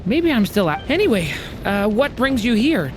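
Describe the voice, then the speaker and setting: A woman voicing a gentle, shy young boy in a video game, speaking politely and hesitantly. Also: on the high side, big pitch swings, moderate pace, background noise. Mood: apologetic, uneasy.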